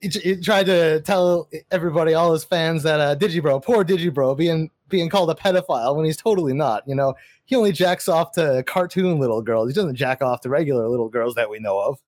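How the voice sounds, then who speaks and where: Profane, rambling man, fairly high voice. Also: brisk, a little mellow, no echo, studio quality. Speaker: guest. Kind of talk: casual podcast chat.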